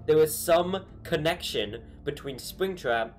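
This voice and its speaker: A man's voice: a little high, expressive, measured pace, faint music behind. A young man hosting a casual video, talking through a game theory with lots of ums and restarts, in a small bedroom. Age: young adult. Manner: rambling, many ums and restarts. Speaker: YouTube host.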